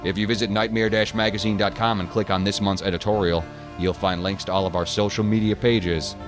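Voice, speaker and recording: Guy doing a scripted podcast outro, deep voice, music playing behind him. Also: level tone, a little fast. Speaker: podcast host. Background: music bed.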